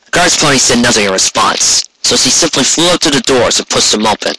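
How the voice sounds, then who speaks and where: A man's voice: medium pitch, expressive, brisk, noisy background. A man reading a scripted fantasy story aloud as its narrator. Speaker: fan fiction narrator.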